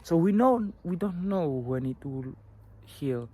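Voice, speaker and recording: A young man from Italy with an Italian accent, talking earnestly to camera and sharing advice, often pausing and restarting his sentences. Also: fairly high pitch, expressive, dark tone, slight background noise. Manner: earnest, halting, with many restarts and fillers. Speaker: vlogger. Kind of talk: personal advice talk to camera.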